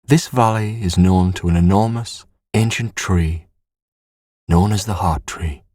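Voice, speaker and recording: A man narrating a scripted sleep story in a soothing, measured, hushed bedtime manner. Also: deep voice, dry recording, clean studio sound.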